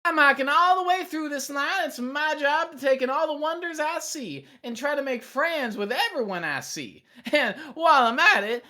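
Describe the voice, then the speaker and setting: A woman's voice: high voice, animated, moderate pace, few pauses, sharp tone. A woman playing a friendly, persistent hiker in a table read, talking in a casual, folksy way. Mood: friendly, explaining.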